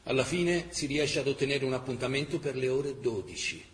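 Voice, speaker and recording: A man narrating a dramatic historical chronicle in emphatic, measured radio-theatre style. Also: medium pitch. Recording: echoey reverberant hall, faint noise.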